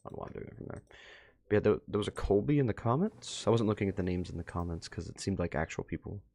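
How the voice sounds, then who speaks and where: A man hosting a reaction video, casually commenting and thinking out loud as he goes. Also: deep voice, expressive, fast, creaky.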